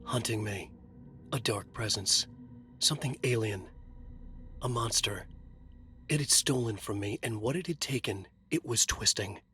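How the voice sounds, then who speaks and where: Haunted writer, terse noir game narration, brooding and dramatic, faint music underneath. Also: male voice, on the low side, unhurried, pauses often, pushed and bright. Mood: uneasy, brooding.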